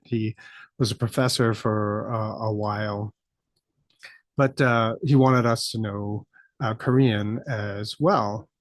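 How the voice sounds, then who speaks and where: A middle-aged American man hosting a solo podcast, talking reflectively and thinking aloud with many pauses and fillers. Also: on the low side, no room sound. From United States. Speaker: podcast host.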